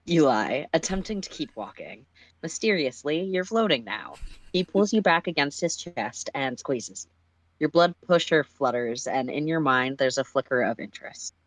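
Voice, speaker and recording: A person reading a story aloud on a livestream in an animated way, sometimes stumbling and slipping into casual asides. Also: mid-range voice, normal speed, dry recording, rough recording.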